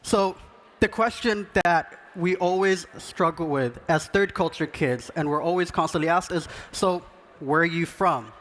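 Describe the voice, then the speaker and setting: A man with a fairly high voice gives an earnest, encouraging talk from an event stage. He co-hosts a podcast and paces his points with care. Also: unhurried, fairly bright tone. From Sudan. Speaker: podcast co-host speaking on stage.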